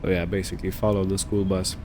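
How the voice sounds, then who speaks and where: A man giving casual roleplay gameplay commentary, unhurried and thinking aloud as he goes. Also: deep voice, little pitch movement, background noise. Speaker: gaming video host.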